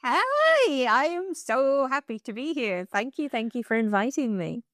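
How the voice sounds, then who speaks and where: A woman with an Irish accent, a podcast guest chatting casually in a bubbly, expressive way and laughing easily. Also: high-pitched, measured pace, no echo, studio quality. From Ireland. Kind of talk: casual interview chat.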